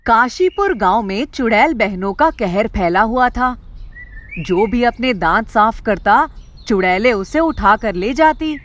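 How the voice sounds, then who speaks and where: A woman's voice: a little high, expressive, unhurried, slight background noise. A woman reading scripted story narration in a storyteller's role. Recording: music behind the voice.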